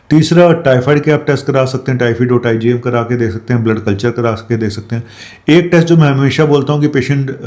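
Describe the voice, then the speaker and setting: Middle-aged doc talking straight to viewers, mellow low-key voice, lots of um and pauses. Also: male voice. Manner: explanatory, addresses viewers directly with frequent fillers. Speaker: doctor giving health advice. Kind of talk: direct-to-camera health talk.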